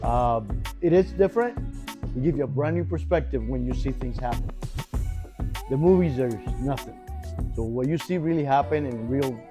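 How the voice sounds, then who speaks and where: Middle-aged teacher guy, proud and emphatic, mid voice, music going underneath. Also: medium pitch. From Cuba. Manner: proud, emphatic, with small restarts. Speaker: engineering teacher interviewed. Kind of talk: earnest interview answers.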